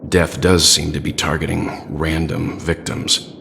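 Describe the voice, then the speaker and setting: Very deep-voiced composed gentleman. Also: flat delivery, gravelly, in a large hall, slight background noise, full character voice. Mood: pensive. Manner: measured, deliberate, polite. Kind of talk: video game voice acting.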